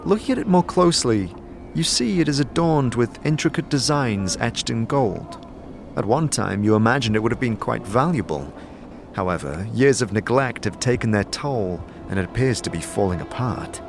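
A man's voice: mid-range voice, animated, normal speed, faint music behind. A man narrating a fantasy audio drama as a storyteller, in scripted prose. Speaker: narrator and player character.

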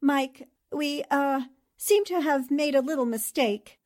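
Actress as sensible lady columnist, high voice, calm, polite, radio drama. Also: slow. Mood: calm, reasonable. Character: sensible, composed female newspaper columnist turned sleuth.

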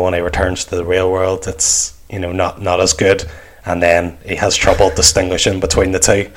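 A man with a low-pitched voice talks casually as a podcast co-host. He builds his points tentatively, pausing and thinking aloud. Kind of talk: casual chat.

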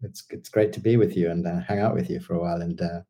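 A man in his fifties, raised in Sri Lanka and England, speaks. His voice is low-pitched and very dark. He talks in a relaxed, rambling way, full of fillers and restarts. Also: quick pace. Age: 50s. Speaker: guest entrepreneur. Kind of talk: casual interview talk.

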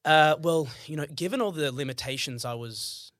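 A young man, a podcast guest telling animated, slangy stories from his childhood. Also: on the high side, normal speed, dry recording, clean studio sound. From Australia. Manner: animated, slangy, acts out quotes. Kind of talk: casual storytelling chat.

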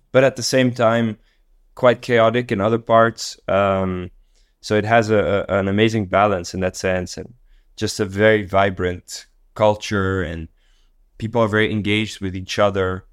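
A man with a low, smooth and resonant voice, a guest who grew up in Tanzania. He talks casually and thoughtfully, hedging with fillers and restarting his sentences. The sound is close and dry. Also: fairly even intonation, unhurried, no echo. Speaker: guest, company co-founder. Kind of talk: casual podcast interview.

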